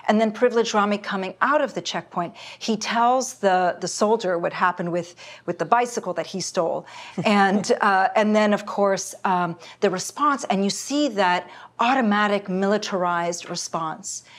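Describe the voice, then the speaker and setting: A woman's voice: mid-range voice, moderate pace. A middle-aged woman from Palestine, a human rights lawyer, speaking earnestly and firmly about her family in a panel discussion. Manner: earnest, personal, firm. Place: indoor studio set.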